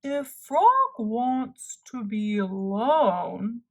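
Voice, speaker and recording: A woman voicing a calm, sensible turtle in a children's story, with drawn-out, deliberate speech. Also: fairly high pitch, exaggerated voice. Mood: unhurried, questioning.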